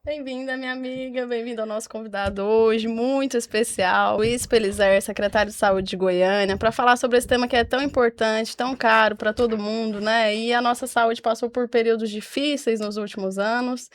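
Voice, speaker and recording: A woman's voice: a little high, steady tone, normal speed, few pauses, dry recording. A woman with a Goiás Brazilian accent co-hosting a podcast and putting questions in a casual, conversational style. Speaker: podcast co-host. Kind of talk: casual interviewing.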